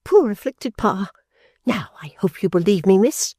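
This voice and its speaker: A woman reader voicing a haughty, sharp-tongued young woman who scolds her sister in a shrill, indignant tone. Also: expressive, measured pace, breathy edge, no echo, clean studio sound. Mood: scornful.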